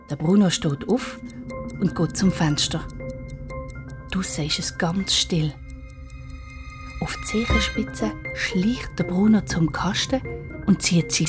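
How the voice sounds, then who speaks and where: Low-pitched Swiss German woman storyteller. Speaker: narrator. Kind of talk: scripted children's story narration.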